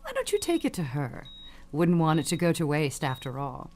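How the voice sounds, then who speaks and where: An actress playing an elderly, imperious, manipulative dying matriarch in an audio suspense drama, haughty and theatrical in manner. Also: female voice, deep voice, huge pitch swings, moderate pace, darkish tone, a little hiss or hum. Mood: sweetly scheming. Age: older.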